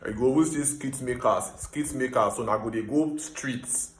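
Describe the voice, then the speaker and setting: A Nigerian man with a Nigerian accent, hosting a casual explainer monologue and switching between Pidgin and English with emphatic, animated delivery. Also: medium pitch, moderate pace, echoey room, a little hiss or hum. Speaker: host.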